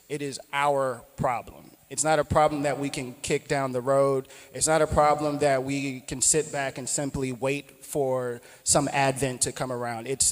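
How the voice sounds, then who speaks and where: Earnest male panelist, mid voice, hesitant fillers, reverberant conference hall. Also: medium pitch. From outside Nigeria. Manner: earnest, many ums and restarts. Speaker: panelist, startup founder. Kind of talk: panel discussion talk. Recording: echoey hall.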